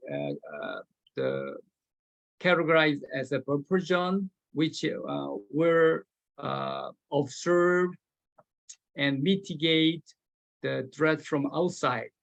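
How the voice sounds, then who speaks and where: Korean-accented male panelist, slightly high, gravelly voice, slow halting slide talk. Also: fairly bright tone, low-quality recording. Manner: hesitant, many fillers and restarts, broken phrasing. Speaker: webinar panelist presenting slides. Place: remote, speaking from his location.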